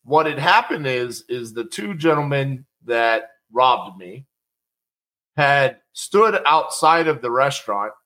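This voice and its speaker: A man's voice: medium pitch, slow pace, rough recording. A middle-aged man telling a personal story in a casual, conversational way and acting out the quotes. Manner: conversational, animated, acts out quotes.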